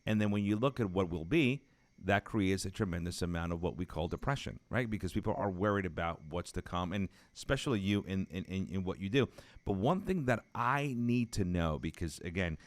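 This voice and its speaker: A man's voice: deep voice, lively intonation, brisk, dry recording. A man hosting a conversational interview, emphatic and repeating phrases as he builds a point. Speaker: podcast host.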